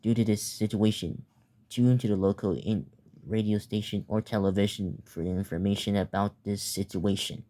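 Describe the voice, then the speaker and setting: A young man narrating a mock emergency announcement in a halting way, stumbling and repeating words. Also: fairly low pitch, steady tone, mellow. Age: teenager or young adult.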